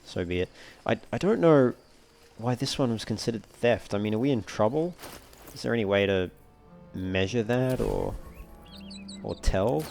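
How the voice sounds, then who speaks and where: A man's voice: lowish voice, lively intonation, normal speed, vocal fry, a little mellow. A man giving casual gameplay commentary and reading game dialogue aloud over game music. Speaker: let's play host. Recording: game music faintly behind the voice. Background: video game music.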